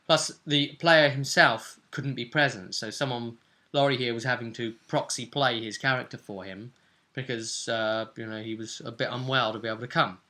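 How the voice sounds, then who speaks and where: A man with a bright, forceful voice hosts as game master. He recaps a tabletop session in a casual way. Key phrases get repeated for dramatic weight. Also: mid-range voice, steady tone, normal speed. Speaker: game master and vidcast host.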